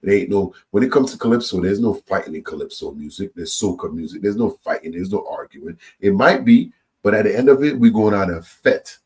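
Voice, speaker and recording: Low-voiced guy from trinidad riffing on music beef to his viewers, animated, lots of restarts. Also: fairly quick. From Trinidad and Tobago. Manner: animated, opinionated, repeats words and restarts. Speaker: reaction channel host. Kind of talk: casual opinion monologue to viewers.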